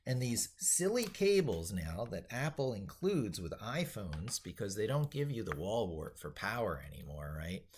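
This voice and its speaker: A man with a low voice hosts a casual live stream. He talks through what he is doing on screen in a rambling way, thinking aloud as he goes. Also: sing-song, normal speed, few pauses, a little mellow, slight background noise. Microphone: speaking into a microphone.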